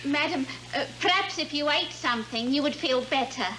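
An actress playing a plain-spoken, matter-of-fact Victorian London housemaid in a television comedy sketch. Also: female voice, high voice, moderate pace, sharp tone. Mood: deferential. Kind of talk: 1950s TV sketch acting. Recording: noisy vintage 1950s TV soundtrack with hum.

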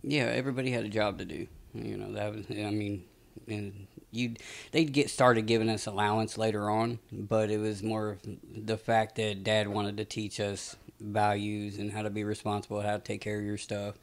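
A man's voice: fairly low pitch, moderate pace, creaky, hardly any pauses. A man in his late thirties from Texas, a podcast guest talking casually with lots of fillers, recorded on a studio mic. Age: 30s. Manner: relaxed, rambling storyteller with many fillers. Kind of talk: casual interview talk. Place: small podcast studio indoors. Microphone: studio mic on a boom arm.

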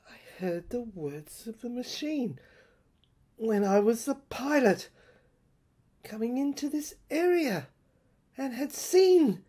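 A woman delivers a dramatic audio play monologue in a high, breathy voice. She moves slowly through broken phrases, pausing often. Her pitch swings widely and can fall away towards a whisper. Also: very animated, dark tone. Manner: halting short phrases with pauses, sometimes dropping to a whisper.

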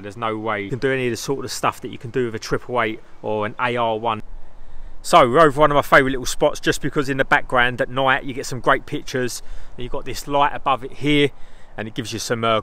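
Guy rambling about his car on a phone cam at night, eager, loose, middling pitch. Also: pushed and bright. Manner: enthusiastic, rambling, car jargon. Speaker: car vlogger. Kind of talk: casual car vlog commentary. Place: in and around cars outdoors at night, engine noise. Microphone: phone camera held by the speaker.

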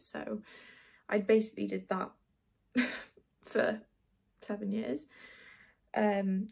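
Chatty twenty-year-old woman with vocal fry. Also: medium pitch, a little mellow. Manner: chatty and rambling, lots of likes and ums. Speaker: beauty vlogger. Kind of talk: casual get-ready-with-me chat. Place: small dim bedroom, indoors.

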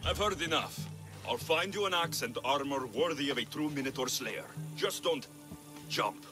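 A man's voice: on the high side, animated, moderate pace, over music, natural voice. A man voicing a blunt, wry but helpful mercenary adventurer of ancient Greece in a video game, with dry humour. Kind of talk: video game character acting.

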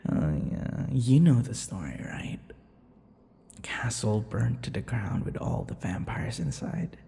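An obsessive, sadistic male vampire lover for an audio roleplay. The voice is very gravelly and slow, sliding into whispers and swinging wildly in pitch. He sounds vain and possessive, with long reverb around every word. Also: sing-song, dark tone, heavily acted. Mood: gloating, gleeful. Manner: slow, breathy whispers and giggling, drawn-out words. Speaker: roleplay voice actor. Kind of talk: intimate ASMR boyfriend roleplay.

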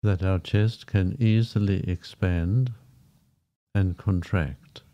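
A man guiding a meditation in a gentle, unhurried manner, leaving long pauses between short phrases. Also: low voice, steady tone. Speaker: meditation guide. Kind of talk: guided meditation, softly spoken. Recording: dull, muffled lo-fi sound. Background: background music.

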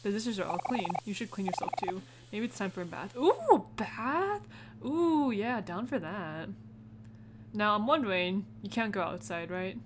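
Chatty woman gamer over loud noise. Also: medium pitch, animated. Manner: chatty, thinking aloud with drawn-out reactions. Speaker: gaming video host. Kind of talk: casual let's play commentary, reading game text aloud.

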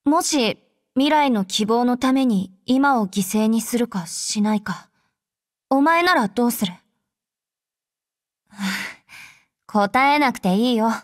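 An actress playing a brash, hot-tempered young female fighter with rough, curt tomboyish speech, in anime game voice lines. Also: a little high, animated, slow pace, long pauses, no room sound, studio quality. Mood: awkwardly softening. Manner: rough, curt masculine speech, easily flustered.

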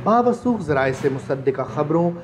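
A man's voice: on the high side, lively intonation, normal speed. A man doing an upbeat, sing-song promotional voice-over announcing the channel. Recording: voice over loud music.

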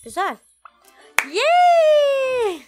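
A woman's voice: very high voice, sing-song, very slow, loud music behind the voice. A woman giving casual, chatty let's play commentary, thinking aloud as she goes. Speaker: gaming video host.

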